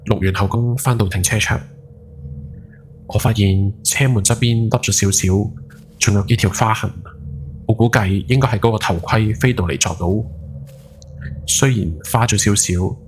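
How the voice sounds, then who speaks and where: A man's voice: fairly low pitch, normal speed, pauses often, slight background noise, lightly acted. A man playing a guilt-ridden night taxi driver in his forties, telling a horror story in Hong Kong Cantonese with brooding, dramatic emphasis. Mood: haunted, remorseful. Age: 40s. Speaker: ghost story podcast narrator. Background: background music.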